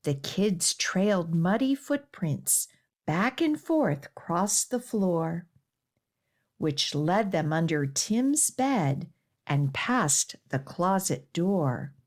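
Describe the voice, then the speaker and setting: A woman hosting a children's storytime and reading a picture book aloud in an expressive, deliberate way. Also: fairly low pitch. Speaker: children's librarian and storytime host.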